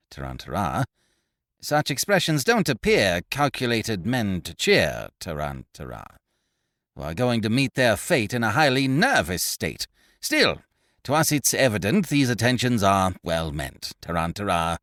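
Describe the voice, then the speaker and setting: A man voicing a nervous police sergeant who chants his lines in a low, gruff way. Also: a bit bright, no room sound, clean studio sound.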